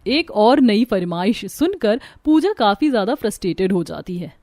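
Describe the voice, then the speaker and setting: A woman's voice: mid-range voice, sing-song, moderate pace, a little mellow, dry recording, studio quality. A woman reading scripted narration for a cartoon family story.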